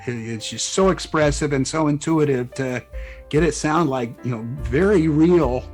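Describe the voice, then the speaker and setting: A man with a somewhat high-pitched voice talks casually as a guest in an interview. His phrasing rambles and hesitates as he goes. Faint music plays beneath him. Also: moderate pace. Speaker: interview guest, fan fiction author and hobby musician.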